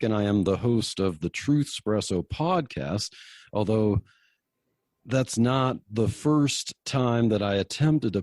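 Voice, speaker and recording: A man answering as a podcast guest in a measured, explanatory, conversational way with hesitant pauses. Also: on the low side, mellow, no room sound.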